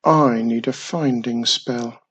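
A storyteller voicing a young, excitable witch girl from a children's storybook, eager and squealing. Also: mid-range voice, slow pace, slightly rough. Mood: impatient.

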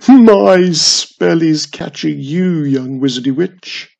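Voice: mid-range voice, little pitch movement, extremely slow, dark tone. A narrator doing a grumpy ancient talking tree. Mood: taunting. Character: grumpy ancient male tree, lonely and gruff.

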